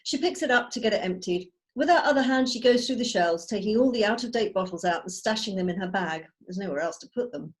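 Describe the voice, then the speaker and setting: Woman reading screenplay directions, mid-pitched. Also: animated, fast talker, few pauses. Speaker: stage directions reader. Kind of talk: scripted narration of screenplay directions. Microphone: video call.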